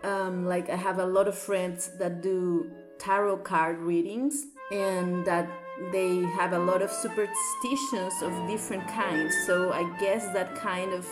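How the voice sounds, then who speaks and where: A woman's voice: lowish voice, steady tone, a little slow, few pauses, music in the background. A woman from Chile with a Chilean accent, reading a survey aloud and then telling her own story with ums and uhs.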